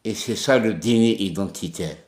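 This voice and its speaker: A man's voice: on the low side, normal speed, a little mellow. A man from Kabylie, delivering an impassioned political monologue and repeating words for stress. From Kabylie, Algeria. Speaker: political commentator. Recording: echoey room.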